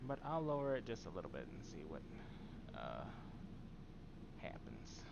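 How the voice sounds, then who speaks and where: A soft-spoken man with a low voice talks through a live game stream in an easygoing way. His voice is quiet and doesn't carry far, and his words often trail off between fillers. Clear background noise sits under his voice. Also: wildly swooping pitch, normal speed, muffled recording. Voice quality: very soft voice that does not carry.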